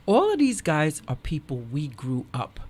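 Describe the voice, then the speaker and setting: A man's voice: a little high, huge pitch swings, unhurried, a little hiss or hum. A man talking casually and emphatically, full of effusive praise, as a guest in a group studio conversation.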